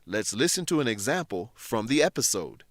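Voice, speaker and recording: A man presenting an English lesson in scripted narration, explaining things in a measured way with careful enunciation. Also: fairly low pitch, very animated, no echo, clean studio sound.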